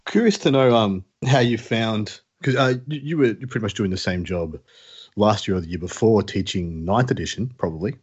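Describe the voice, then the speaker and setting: A man co-hosting a casual podcast, asking halting, hesitant questions. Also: fairly low pitch, slightly rough, lo-fi sound. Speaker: podcast co-host.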